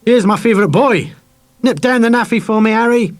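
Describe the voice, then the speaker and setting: A man's voice: high voice, fairly quick, voice pushed up, natural voice. A man voicing a kindly, blunt soldier in an audiobook, speaking in an informal, slangy way. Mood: cheery. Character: kindly, blunt young male soldier, protective.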